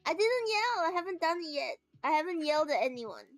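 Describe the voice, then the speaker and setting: A woman's voice: very high-pitched, sing-song, brisk, dry recording, faint music behind. A woman streamer chatting casually, laughing often and acting out little quoted voices.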